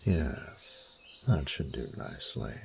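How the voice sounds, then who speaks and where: A man's voice: sing-song, slow pace, dull audio, a little hiss or hum, full character voice. A man voicing a huge, proud male dragon in a romantic audio roleplay, doting and teasing, with formal, courtly phrasing and intimate murmurs. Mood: warm, inviting. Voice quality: very deep, gravelly, breathy murmurs.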